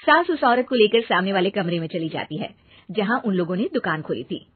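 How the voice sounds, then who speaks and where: A woman's voice: medium pitch, animated, brisk, breathy edge, no room sound, narrow, tinny audio. A woman reading scripted narration for a story.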